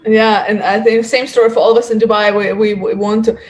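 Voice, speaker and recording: A woman's voice: a little high, flat delivery, fast, slight background noise. A woman hosting a podcast, chatty and enthusiastic, leaning on fillers like "kind of" and "like". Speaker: podcast host. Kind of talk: casual interview conversation.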